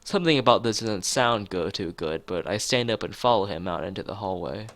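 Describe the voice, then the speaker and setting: A man's voice: on the low side, lively intonation, brisk, a bit bright, a little hiss or hum. A man streaming a visual novel, reading the narration aloud and chatting casually with joking asides to viewers.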